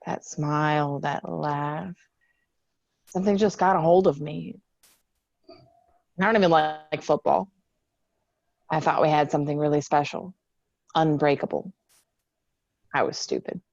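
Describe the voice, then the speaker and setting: A woman's voice: deep voice, a little slow, vocal fry, long pauses, darkish tone, dry recording, muffled recording, heavily acted. A woman playing a guarded, stubborn, wounded young Marine veteran in a screenplay table read. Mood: wistful, bitter.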